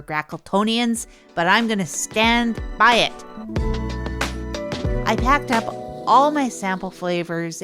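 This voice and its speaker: A woman's voice: medium pitch, fairly bright tone, clear, resonant tone. Earnest, hapless small-time inventor played by a woman, hesitant rambling narrator for an improvised comedy audio drama, mid-range voice. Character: earnest, hapless amateur artisanal-coffee maker telling camping misadventures. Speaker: podcast narrator.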